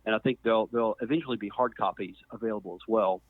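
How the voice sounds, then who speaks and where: A middle-aged man from Mississippi speaking as a podcast guest, explaining his background conversationally with measured pauses. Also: lowish voice, no echo. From Mississippi, US Deep South. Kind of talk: conversational account of own background. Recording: muffled phone-like narrow band.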